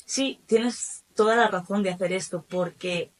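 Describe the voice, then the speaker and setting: A woman from Spain with a Spanish accent, a guest in a casual interview, telling her story in a lively way with many asides. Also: medium pitch, measured pace, slight background noise. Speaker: guest, German teacher and academy founder.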